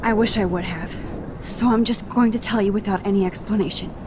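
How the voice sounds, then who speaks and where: An earnest young female student voiced by a woman speaks gently and a little hesitantly, at a medium pitch. It is troubled video game drama, with clear storm noise behind her. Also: steady tone, brisk, natural voice. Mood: earnest, urgent. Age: young adult.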